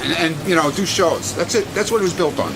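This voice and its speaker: A man's voice: a little high, fairly quick, music in the background. A man arguing casually and off the cuff in a blunt, adamant way. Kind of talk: casual unscripted argument. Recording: clearly noisy, outdoor-like ambience.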